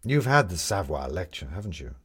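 A man's voice: low-pitched, big pitch swings, a little fast, slightly rough, full character voice. A man voicing a bitter, proud, talkative male con artist in an audiobook, with emphatic, rhetorical delivery. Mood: scornful.

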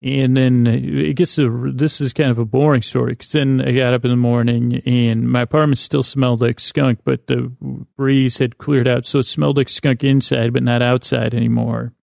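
A middle-aged man hosting a sleep podcast, rambling hesitantly and often trailing off mid-thought in a gravelly voice. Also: mid-range voice, level tone, moderate pace, hardly any pauses, darkish tone, no room sound, studio quality. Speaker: podcast host.

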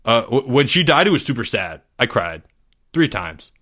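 A man voicing a cocky, slow-witted schoolboy who bluffs in hesitant, slangy speech for a story. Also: medium pitch, very animated, fairly bright tone. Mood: defensive. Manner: hesitant, stumbling, slangy, overconfident. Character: cocky, dim schoolboy bluffing his way through.

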